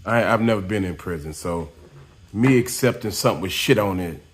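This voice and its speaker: A man's voice: sits low, fairly quick. A man interviewing a guest, cutting in with curious short questions and interjections. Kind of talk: casual interview.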